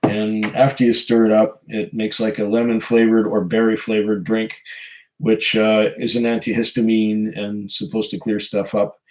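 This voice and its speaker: A man with a hoarse voice from a cold, hosting a casual live stream, reading viewer comments and chatting in a rambling, hesitant way. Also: fairly low pitch, flat delivery, normal speed, a little mellow, low-quality recording. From Canada. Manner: rambling, hesitant, chuckles often. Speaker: whiskey channel host reading live chat.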